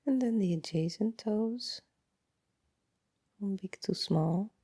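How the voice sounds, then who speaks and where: Dark, husky woman guiding meditation softly. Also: medium pitch, dry recording, clean studio sound. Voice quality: soft, breathy, often trailing into near-whisper. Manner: calm, unhurried, gentle instructing with long pauses. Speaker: meditation guide. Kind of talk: guided body scan meditation, slow and soothing.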